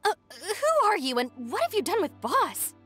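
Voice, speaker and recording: A woman voicing a sensible young gang deputy in a video game, brisk and dry, polite but firm. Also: very high voice, huge pitch swings, a bit bright, faint music behind, heavily acted. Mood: dry, skeptical. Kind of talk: animated game voice acting.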